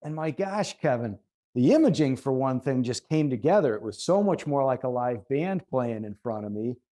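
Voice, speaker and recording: A man's voice: medium pitch, expressive, moderate pace, dark tone. A man hosting a conversational tips segment, explaining things step by step in an articulate way. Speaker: host and audio reviewer.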